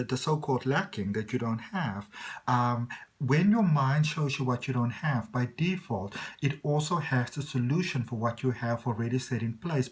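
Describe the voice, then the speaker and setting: Thirties spiritual coach, mid-range voice, earnest and reassuring, full of "you know". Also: mid-range voice. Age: 30s. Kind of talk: motivational talk to camera.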